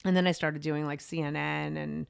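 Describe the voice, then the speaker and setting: A woman in her forties talks through her career as a podcast and media coach. She chats freely, restarting sentences and dropping in "you know" and "like". Her voice sits a bit low with a gravelly fry, recorded dry and close. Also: little pitch movement, normal speed, dry recording. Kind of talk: casual interview storytelling.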